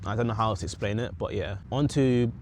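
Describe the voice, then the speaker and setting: A man's voice: fairly low pitch, quick pace, a little mellow, a little hiss or hum. A young man with a British accent reflecting on his goals in a casual, rambling vlog, often correcting himself mid-sentence. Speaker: vlogger. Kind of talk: casual talking-head vlog.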